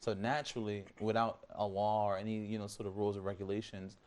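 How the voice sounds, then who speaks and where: Even-toned man on a panel. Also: lowish voice, fast talker. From Philadelphia, USA. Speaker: panel guest, Arabic student. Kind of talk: studio panel discussion.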